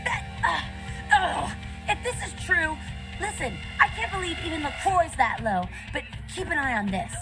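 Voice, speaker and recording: Game role: hot-tempered female rebel vampire, blunt street talk, high pitch, strong music underneath. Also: animated, normal speed, low-quality recording. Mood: indignant. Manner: blunt, colloquial. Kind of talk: video game voice acting.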